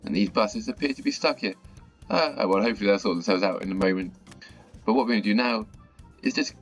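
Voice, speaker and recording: A man with a croaky, slightly sore-throated voice, chatting casually as he plays and comments on a bus management game. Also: on the low side, fast. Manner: chatty, mildly exasperated at stuck buses. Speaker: gaming channel host. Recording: dull lo-fi audio with faint music.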